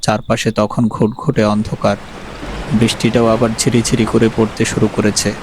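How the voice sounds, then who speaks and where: A man narrating a horror story in a grave, measured way, over music. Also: fairly low pitch, little pitch movement, darkish tone, a little hiss or hum.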